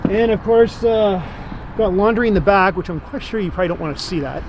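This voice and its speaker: A man's voice: high voice, a little fast, rough recording. A man chatting casually inside his parked minivan, rambling with many fillers and ending sentences with "right?". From Canada. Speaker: interviewee. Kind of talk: casual chat. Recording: vehicle and street noise behind. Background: outdoor traffic ambience.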